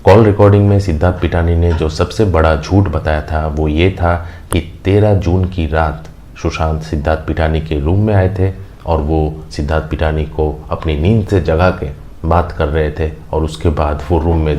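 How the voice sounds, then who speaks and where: Very deep-voiced Indian man, mellow and steady. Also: moderate pace. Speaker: host of a news commentary channel. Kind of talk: opinionated news commentary. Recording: faint background noise.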